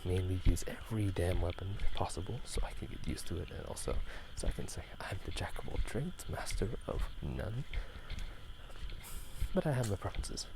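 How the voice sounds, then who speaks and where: A man in a hushed, half-whispered ASMR chat, rambling hesitantly as he walks outside at night. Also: low voice, expressive, moderate pace. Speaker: gaming and ASMR video creator. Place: outdoors at night, walking. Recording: noisy night outdoors, frogs croaking. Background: frogs croaking, night ambience.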